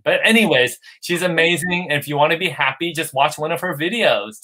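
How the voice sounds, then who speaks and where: A man's voice: a little high, pushed and bright. A man from California chatting casually on a video call, rambling enthusiastically with many restarts. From United States, California. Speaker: guest. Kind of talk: casual livestream chat.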